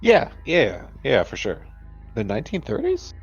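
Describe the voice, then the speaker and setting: A man's voice: mid-range voice, wildly swooping pitch, measured pace, breathy edge, dull audio, faint music behind. A man playing a tabletop game, chatting casually in character in a hesitant, bemused way.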